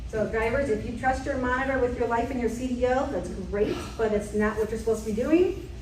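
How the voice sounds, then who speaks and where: Woman trainer, fairly high voice, direct quiz style, mic far off in an echoey meeting room. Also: a little fast, darkish tone, slight background noise. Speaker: safety trainer. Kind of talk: leading a staff safety quiz.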